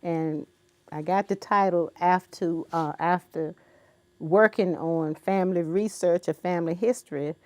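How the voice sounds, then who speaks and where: A woman in her seventies from Virginia, a guest in an interview, speaking in an unhurried, reflective way with many ums and uhs. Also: on the low side, fairly even intonation, dark tone, no echo. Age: 70s. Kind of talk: recorded interview.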